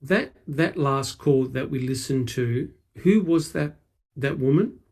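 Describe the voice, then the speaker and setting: A man on a discussion panel, asking questions and making points in a careful, deliberate way. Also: medium pitch, a little mellow.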